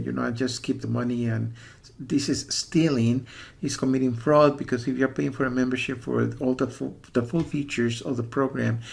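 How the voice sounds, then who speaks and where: Male reviewer, mid-pitched with noticeable fry; unscripted, hesitant delivery that hammers points by repetition. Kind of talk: casual spoken warning review with screen walkthrough.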